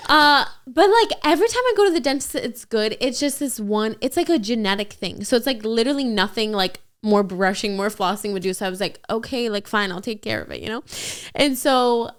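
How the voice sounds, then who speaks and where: Young woman podcast co-host, smooth and fairly high, chatty storyteller. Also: animated, fairly quick, hardly any pauses. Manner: chatty, heavy use of "like". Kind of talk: casual storytelling chat.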